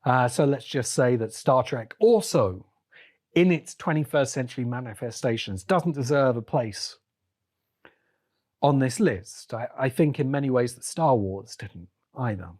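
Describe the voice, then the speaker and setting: Male podcast host, mid-pitched voice, opinionated commentary, halting deliberate phrasing, short emphatic bursts. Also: expressive, darkish tone. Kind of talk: opinionated solo podcast commentary on books and shows.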